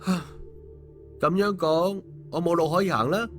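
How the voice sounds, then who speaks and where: A man's voice: high-pitched, normal speed, fairly bright tone, faint music behind, voice pushed up. A man voicing an exasperated, cheeky young man in an audiobook, with slangy grumbling and a Hong Kong Cantonese accent. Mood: resigned. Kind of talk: audiobook character acting.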